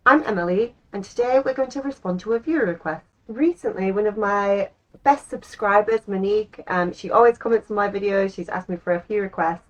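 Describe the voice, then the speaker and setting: A woman's voice: medium pitch, fairly quick, low-quality recording. Chatty English woman vlogger. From England. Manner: chatty, rambling with frequent ums. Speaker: channel host. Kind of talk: casual talk to camera.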